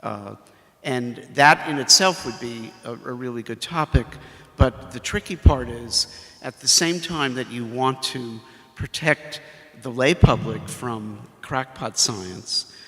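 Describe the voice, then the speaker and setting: Male professor, very slow delivery, many pauses, long hall reverb. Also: medium pitch, pushed and bright. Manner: halting, with many fillers. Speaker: professor, faculty mentor. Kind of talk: ceremony speech. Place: library ceremony hall.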